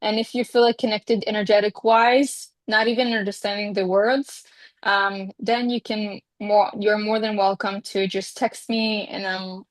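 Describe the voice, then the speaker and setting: A woman's voice: mid-range voice, flat delivery, unhurried, no room sound. A woman in her twenties whose first language is Hebrew, a Reiki healer speaking in an interview in an earnest, rambling way with many fillers. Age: 20s. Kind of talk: casual interview talk.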